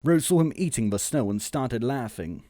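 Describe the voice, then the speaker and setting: A man reading a scripted comic story as narrator, measured and deadpan. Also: medium pitch, no echo, clean studio sound.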